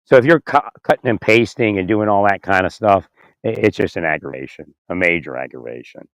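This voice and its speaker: A man with a slightly hoarse, raspy voice talking in a rambling, halting way, repeating words, chuckling often and asking "right?" as he speaks into his phone outdoors. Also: deep voice, expressive, fairly bright tone, no echo. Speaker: vlogger. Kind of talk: rambling casual monologue. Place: outdoors in winter.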